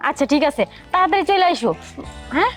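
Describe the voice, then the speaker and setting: A woman's voice: extremely high pitch, animated, faint music behind. An actress playing a nosy young village wife who questions her husband. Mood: prying. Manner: prying, quick to question. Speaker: neighbor's wife.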